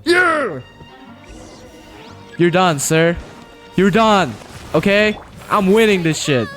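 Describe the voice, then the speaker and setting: A male streamer giving casual live-stream commentary, slangy and joking, reacting loudly as he plays. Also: sits high, very animated, slow pace, soft background music.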